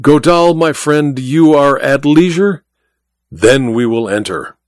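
A man's voice: medium pitch, expressive, slow, no echo, studio quality. A man voicing a pompous, sly blind male stage magician, speaking in a grand, theatrical way.

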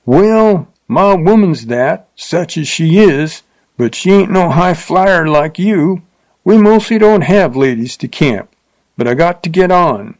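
A man's voice: fairly high pitch, lively intonation, slow, a little mellow, no echo, pitched above the performer's own voice. A man voicing a crude, drunken frontier rider with a coarse rustic drawl. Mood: leering.

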